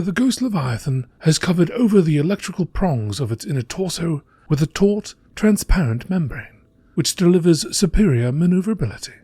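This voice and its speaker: A man reading scripted text aloud in a measured nature documentary style, as an amateur narrator. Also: a little high, mellow, slight background noise.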